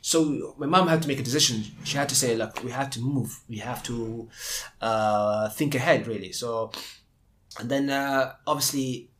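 A man's voice: mid-range voice, normal speed, fairly bright tone. A young man from Abu Dhabi with an Indian-tinged English accent, telling his story in a casual interview, rambling with many restarts. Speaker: guest.

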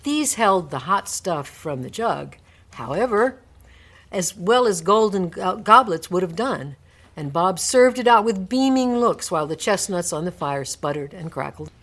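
A woman's voice: on the low side, lively intonation, a little slow, fairly bright tone. A woman narrator reading a classic story aloud in a dramatic scripted storybook style. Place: radio studio.

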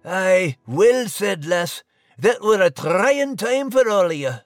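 A man's voice: sits high, animated, slow pace, soft background music, full character voice. A man voicing a folksy, bumbling, kindhearted animal character with a Scottish accent in an audio drama. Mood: sympathetic.

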